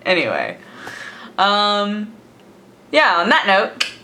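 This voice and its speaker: A woman's voice: mid-range voice, pushed and bright, slight background noise. A young woman from the United States chatting casually to camera in a daily vlog, rambling with lots of fillers and laughs. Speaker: vlogger. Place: home, sitting with coffee.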